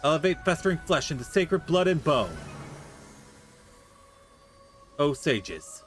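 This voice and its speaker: A man's voice: a little high, sing-song, fairly bright tone. Man reading as a solemn, composed alchemist. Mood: solemn incantation. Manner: measured, solemn, formal read-aloud. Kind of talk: acted reading of fantasy game dialogue. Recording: music behind the voice.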